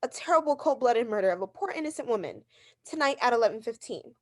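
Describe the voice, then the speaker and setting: Radio mystery drama, with an actress as a haughty, neurotic invalid wife. Her voice is high and swings widely as she demands and insists. She is agitated and imperious throughout. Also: expressive, quick pace, a little mellow. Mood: anxious, insistent.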